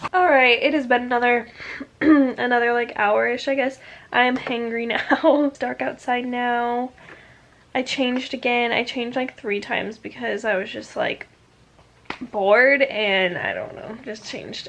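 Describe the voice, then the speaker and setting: Chatty young woman vlogging at home. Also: high-pitched. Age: 20s. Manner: chatty, rambling with many fillers. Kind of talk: casual vlog chat.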